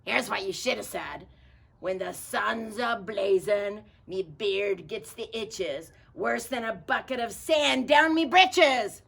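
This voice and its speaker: A woman voicing a bossy male pirate captain from a storybook, hollering in drawn-out pirate talk. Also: medium pitch, vocal fry, a bit bright, voice pushed up, full character voice. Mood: blustering outrage.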